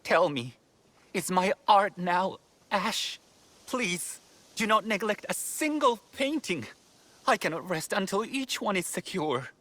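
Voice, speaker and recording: A man's voice: sits high, lively intonation, slow pace, fairly bright tone, no echo, full character voice. A man playing a proud, anguished master painter in a feudal Japan game, dramatic and lamenting. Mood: anxious pleading.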